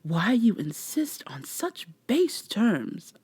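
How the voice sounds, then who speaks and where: A woman as a slow, soft, haughty vampire lady. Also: medium pitch, big pitch swings, husky, no room sound, voice pushed up, full character voice. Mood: pointed, probing. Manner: formal, measured, condescending. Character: haughty, teasing female vampire, formal and worldly. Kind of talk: soft-spoken ASMR roleplay acting.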